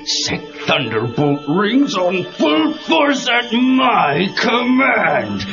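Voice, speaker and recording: A menacing cartoon villain on a story cassette. He barks commands very slowly in a high voice that swoops widely. He sounds deliberate and theatrical. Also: big pitch swings, heavily acted. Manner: deliberate, barking orders. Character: commanding, menacing male time-thief villain. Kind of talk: dramatized comic-book cassette acting. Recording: dull cassette, music behind.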